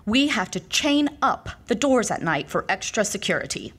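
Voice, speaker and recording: A woman's voice: mid-range voice, sing-song, moderate pace, a bit bright, slightly rough. A woman playing a stern, devoted librarian. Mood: reproachful. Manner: stern, reproachful. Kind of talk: audio horror drama acting.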